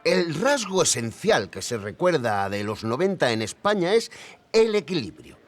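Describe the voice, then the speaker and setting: A man's voice: on the high side, big pitch swings, normal speed, faint music behind. A man with an accent from Spain playing an academic historian, explaining reflectively with small questioning murmurs. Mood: reflective, explanatory. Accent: Spanish from Spain. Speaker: expert interviewee. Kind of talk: conversational expert interview.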